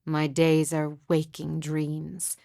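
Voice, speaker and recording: A haunted, mystical woman of a fantasy game, speaks in a low register with great deliberation. Her delivery is hushed, solemn and poetic, heavy with grief. The recording is very dry and close. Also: completely flat delivery, takes it slowly, creaky, no echo, full character voice. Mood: haunted, sorrowful. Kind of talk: video game character dialogue, poetic and measured.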